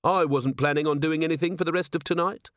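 A man voicing a calm, earnest police captain in a storybook, speaking politely and with firm command. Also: a little high, fast, no room sound, studio quality, voice pushed up, natural voice.

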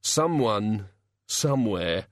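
A man's voice: lowish voice, animated, no room sound, clean studio sound. A man putting on a groaning, hungover god. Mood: queasy. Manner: slow, groaning, halting mumble. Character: hungover groaning male god, queasy and pitiful.

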